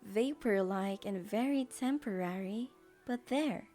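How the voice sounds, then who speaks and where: A woman narrator reads a scripted audio drama at an unhurried pace. Her tone is mellow, and faint music plays behind her storytelling. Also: mid-range voice.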